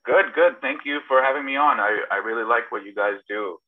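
A man's voice: mid-range voice, brisk. A middle-aged man from Serbia and Toronto, a screenwriter guest answering casually with personal anecdotes over an internet call. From born in Serbia, grew up partly in Toronto, Canada. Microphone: video call. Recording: thin, phone-like internet call audio.

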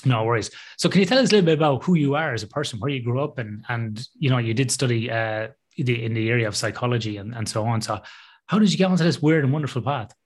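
Fast-talking male podcast host. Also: medium pitch. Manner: joking, teasing. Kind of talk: casual interview chat.

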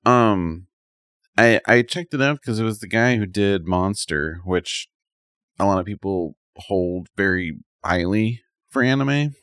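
A man's voice: on the low side, expressive, unhurried, fairly bright tone, no echo, studio quality. A man co-hosting a podcast in casual chat, rambling a little and thinking aloud as he gives his opinions. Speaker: podcast co-host. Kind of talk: casual chat, reviewing anime.

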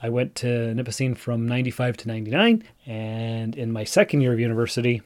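A man's voice: mid-range voice, a little fast. A man in his forties hosting a podcast, musing aloud in a chatty, rambling way. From Canada (Northern Ontario). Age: 40s. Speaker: podcast host. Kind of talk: casual solo podcast monologue.

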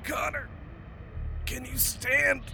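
An actor playing a desperate, hot-tempered soldier in an audio drama, urgent and exclaiming. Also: male voice, extremely high pitch, flat delivery, takes it slowly, vocal fry, over music, exaggerated voice. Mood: alarmed, urgent.

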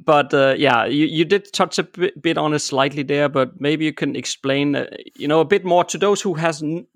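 A man's voice: mid-range voice, dry recording, studio quality. A man hosting a podcast, casually interviewing a guest in a friendly, affirming way. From Europe. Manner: friendly, affirming, repeats "yeah". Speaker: podcast host.

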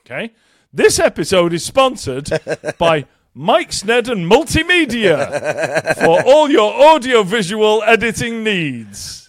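A man with a fairly high voice that swoops up and down as he talks, and he swears and jokes his way through rambling announcements. He is speaking into a close mic in a dry, dead room with no echo. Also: big pitch swings, slow. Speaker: podcast co-host. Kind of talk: casual chat.

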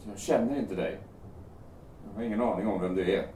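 A man's voice: fairly low pitch, warm tone, slight background noise, lightly acted. An actor playing a volatile, desperate man in an audio drama, speaking bluntly and sardonically with swearing. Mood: suspicious, sardonic. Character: volatile, suspicious, desperate man threatening to jump. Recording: roomy sound, distant from microphone.

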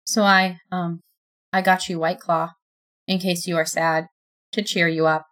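A woman voicing Death, an ageless, solemn being who speaks in a flat, even tone. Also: on the low side, unhurried. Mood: flat, gentle.

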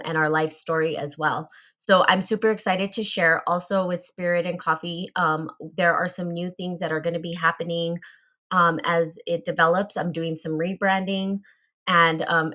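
A woman's voice: fairly low pitch, fairly bright tone, dull audio. A woman hosting a casual live talk show, chatty and full of fillers. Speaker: host.

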